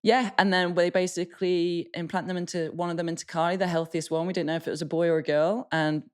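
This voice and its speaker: An English woman with a notably low voice co-hosts a podcast in easy, casual chat. She is blunt and self-mocking, with a loose tongue that lets swear words slip. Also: level tone, fast, darkish tone, clean tone. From England. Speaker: podcast co-host.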